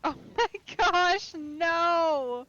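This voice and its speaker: A woman's voice: extremely high pitch, drawn-out words, a bit bright, lo-fi sound. A woman giving casual live commentary as she plays a game, musing aloud and reacting to it. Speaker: let's play streamer.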